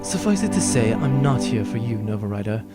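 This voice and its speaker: A man's voice: medium pitch, sing-song, fairly quick, loud music behind the voice, voice pushed down, lightly acted. Man voicing a cool, sardonic space renegade woman. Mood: dry teasing. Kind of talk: audio drama acting.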